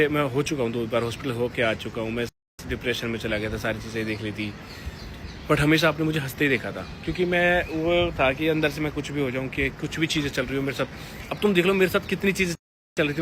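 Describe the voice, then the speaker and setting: Aggrieved young man, noisy recording. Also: a little high. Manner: aggrieved, repetitive, insistent. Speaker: vlogger. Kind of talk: unscripted personal address to viewers.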